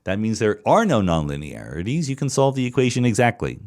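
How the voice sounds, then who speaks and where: A man's voice: lowish voice, very animated, fairly quick, a little mellow. A middle-aged man hosting a podcast, answering listener questions in a thoughtful solo monologue, explaining step by step with frequent restarts and repeated words.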